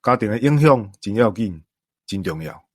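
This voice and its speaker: A low-voiced man with a Taiwanese accent talks things over in an earnest, emphatic way. The recording is close and dry. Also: expressive, moderate pace, a little mellow, no room sound. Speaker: commentator. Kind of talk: conversational discussion.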